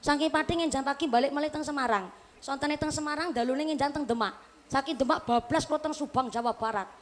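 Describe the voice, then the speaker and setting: A woman's voice: high-pitched, quick pace. A woman in her late twenties with a Javanese accent, a preacher giving a humorous religious talk to a live audience, chatty and joking as she turns to the listeners again and again. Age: late 20s. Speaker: Islamic preacher.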